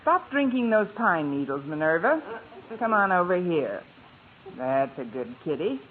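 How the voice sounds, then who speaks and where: An actress playing a wry, lonely single English teacher in a 1950s radio sitcom, with dry, deadpan wisecracks. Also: female voice, fairly low pitch, measured pace. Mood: wry, fond. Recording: tinny, hissy old radio broadcast.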